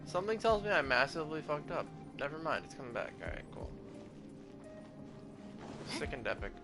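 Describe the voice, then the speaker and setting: Casting: young male game streamer, slangy and offhand, creaky voice with lively swings, music underneath. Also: fairly high pitch, a little fast. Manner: offhand, slangy, swearing, muttering. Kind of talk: casual live gaming commentary.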